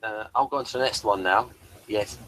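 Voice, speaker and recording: A man hosting a casual review chat, talking in a halting way and repeating words as he goes. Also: fairly low pitch, a bit bright, rough recording. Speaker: host of a TV review show.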